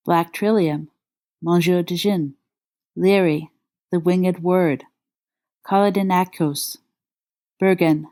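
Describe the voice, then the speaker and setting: Low-voiced woman reading wryly. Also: mellow. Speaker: podfic reader. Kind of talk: reading credits and a story journal aloud.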